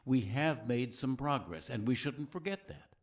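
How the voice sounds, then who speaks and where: A man playing an earnest, civic-minded narrator leading a scripted discussion, measured and rhetorical. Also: mid-range voice, lively intonation, close to an everyday voice. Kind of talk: scripted audio play, civic reading.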